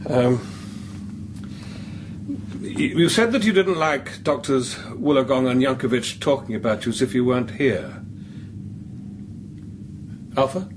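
A thoughtful male priest, played by an actor in a radio play, questioning carefully and hesitantly. His pitch is middling for a man, and there is clear noise behind him. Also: unhurried, pauses often, natural voice. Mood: curious, careful. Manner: hesitant, gently probing questions. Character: thoughtful, cautious male priest interviewing an artificial intelligence. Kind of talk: radio drama acting.

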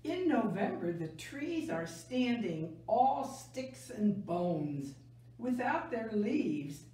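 An older woman reading a picture book aloud to children in a gentle, deliberate way, stressing key words. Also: on the high side, big pitch swings. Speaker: library story time reader. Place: small indoor room, seated. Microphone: camera a few metres away. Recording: echoey room, distant mic, faint noise.

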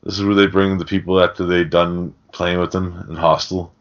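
A man's voice: low voice, flat delivery, moderate pace, muffled recording. A man hosting a podcast, casually recapping a film in slangy, swear-heavy talk. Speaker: podcast host.

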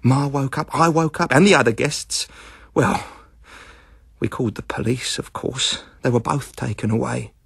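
A man's voice: mid-range voice, normal speed, gravelly, husky, no room sound, studio quality. A man voicing an earnest teenage boy, a landlady's son, polite and confiding, in an audiobook.

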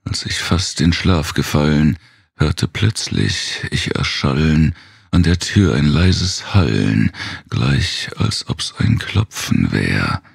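Male narrator for gothic horror poetry: extremely low, husky voice, brooding and theatrical, slow delivery. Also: animated, no echo, clean studio sound. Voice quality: very gravelly, husky. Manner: brooding, theatrical, dropping into whispers.

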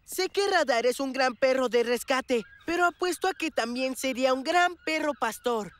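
A woman's voice: high voice, lively intonation, normal speed. A woman voicing an eager, cocky young schoolboy in a children's cartoon, with a Latin American Spanish accent and an insistent, boastful manner. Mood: eager, confident. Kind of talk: animated children's series dubbing.

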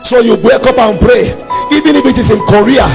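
A man's voice: very high-pitched, fairly quick, vocal fry. A man with a Nigerian accent preaching an impassioned sermon, emphatic and repeating his phrases. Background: background music.

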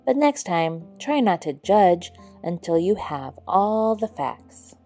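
A woman voicing a wise, gentle old male owl in a storybook, patient and measured as he explains. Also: fairly low pitch, sing-song, faint music behind, heavily acted. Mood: forgiving. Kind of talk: storybook character voice.

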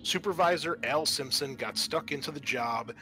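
A man's voice: a little high, normal speed, pushed and bright, slight background noise. A man hosting a roleplaying podcast as game master, moving between casual chat and scene-setting narration. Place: online group call.

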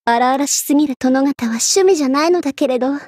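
Haughty young Egyptian queen for an anime game, played by a woman: extremely high voice, theatrical commands, flustered pride, dry studio sound. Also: fast, darkish tone, no echo, lightly acted. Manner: imperious, theatrical, tsundere. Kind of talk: Japanese anime game character voice acting.

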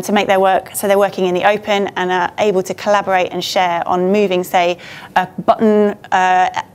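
A woman's voice: lowish voice, a little hiss or hum. A woman speaking informally as a presenter on an auditorium stage, conversational and a little hesitant, with loose phrasing. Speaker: conference speaker, government design team member. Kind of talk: informal presentation to a meetup audience.

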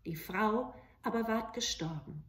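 A middle-aged woman narrating a fairy tale for children with expressive, dramatic and deliberate phrasing. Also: medium pitch. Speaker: storyteller. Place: small indoor room with bookshelves.

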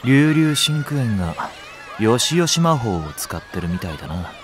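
A man's voice: mid-range voice, sing-song, normal speed, a crowd in the background, background noise, lightly acted. An actor playing a cynical, lazy young male nursery teacher in an audio drama, with a flippant, teasing delivery. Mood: wry. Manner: flippant, sardonic. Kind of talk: Japanese audio drama acting.

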